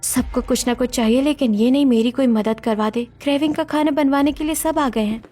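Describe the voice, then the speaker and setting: A woman acts out an overworked young daughter-in-law in an Indian family story. Her voice is high, and she sounds put-upon and exasperated while staying polite to her elders. Music plays behind her. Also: fairly quick, dark tone. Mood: exasperated, complaining. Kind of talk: Hindi audio story dialogue acting.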